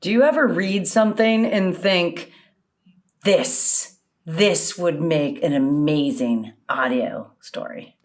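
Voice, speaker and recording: A woman's voice: fairly low pitch, slow. A woman hosting a casual conversational interview, putting questions to a guest. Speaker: podcast interviewer.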